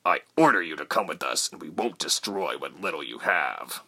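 A man's voice: very deep voice, expressive, moderate pace, creaky, bright, forceful tone, pitched below the performer's own voice, heavily acted. A man voicing a menacing soldier in an audiobook story, commanding and threatening. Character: menacing male soldier, an invader barking orders. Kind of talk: dramatic audiobook character voice.

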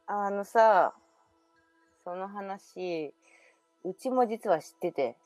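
A woman's voice: medium pitch, very slow, darkish tone, no echo, soft background music. A woman performing in an amateur radio drama as a young woman who confides memories of a past life. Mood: mysterious.

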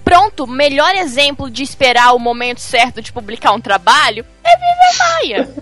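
A woman's voice: sits high, very animated, moderate pace, fairly bright tone, soft background music. A Brazilian woman co-hosting a podcast, talking casually and bluntly in colloquial speech. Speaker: podcast co-host. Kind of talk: casual chat.

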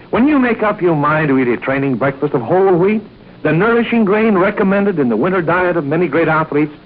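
A man announcing a 1940s radio commercial in an emphatic, salesmanlike way, speaking directly to young listeners. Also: sits high. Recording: dull, lo-fi 1940s radio recording.

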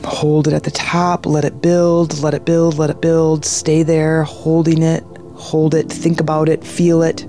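A meditation guide with a slow, unhurried delivery and a gravelly creak in the voice. The tone is soothing and hushed, leading a guided meditation over faint music. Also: mid-range voice, completely flat delivery. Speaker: meditation guide, podcast host.

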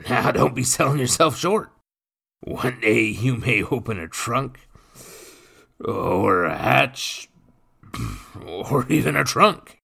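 A man voicing a drunken, brutish young man who crows and mocks loudly in a storybook reading. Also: mid-range voice, animated, slow, creaky, slightly rough, voice pushed up, full character voice. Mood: mocking. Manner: loud, boisterous, mocking.

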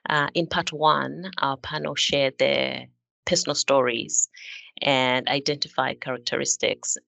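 A woman from Zimbabwe hosting a podcast panel discussion, speaking in a measured way with frequent fillers. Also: rumbling low, fairly even intonation, vocal fry, pushed and bright, dry recording. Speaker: podcast host.

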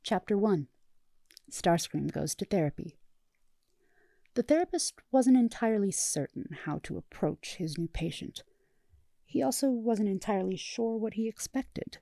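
A woman reading a fan story aloud as podfic narrator, in calm storytelling. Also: mid-range voice, unhurried, vocal fry, dry recording. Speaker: podfic reader. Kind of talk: audiobook narration.

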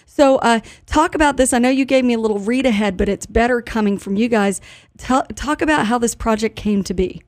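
A woman's voice: on the high side, fairly quick, no room sound. An American woman chatting informally as host of a live radio interview. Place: radio studio.

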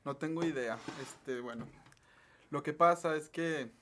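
A man's voice: a little high, measured pace, fairly bright tone, a little hiss or hum. A young man with a Mexican accent chatting casually on a podcast, rambling and correcting himself as he goes. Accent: Mexican Spanish. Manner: rambling, full of fillers and self-corrections. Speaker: podcast co-host. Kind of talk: casual chat.

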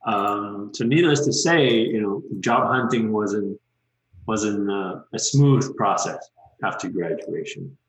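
A man in his thirties from Malaysia, a guest in an interview, talking casually and relaxed with many "um" and "you know" fillers. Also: on the low side, slow, echoey room, poor audio.

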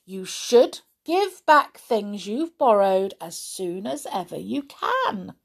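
A woman voicing an amused, knowing older woman who scolds gently with a chuckle. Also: mid-range voice, big pitch swings, takes it slowly, gravelly, breathy edge, exaggerated voice. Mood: scolding.

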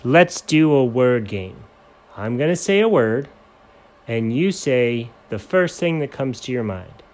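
A steady, unhurried grown man in a storybook, as the man telling it plays him. He speaks in a slightly lowered, measured voice. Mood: calm.

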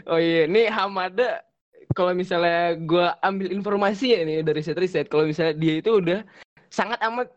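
A man's voice: high-pitched, a little fast, no echo, muffled recording. A young man hosting a casual podcast chat, teasing his guests, laughing often and swearing playfully. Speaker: podcast host.

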